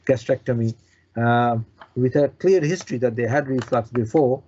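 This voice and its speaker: A man from Bangladesh speaking as a surgeon being interviewed, explaining in a halting way with repeated words and restarts. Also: medium pitch, warm tone, dull audio, slight background noise. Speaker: consultant bariatric surgeon, interview guest.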